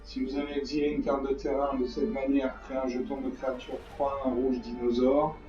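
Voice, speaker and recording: A hoarse man hosting a gaming channel, talking through a card game in a rambling, repetitive way with many fillers. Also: mid-range voice, level tone, a little fast. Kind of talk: casual game commentary. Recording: distant mic, echoey room, dull lo-fi sound, faint music.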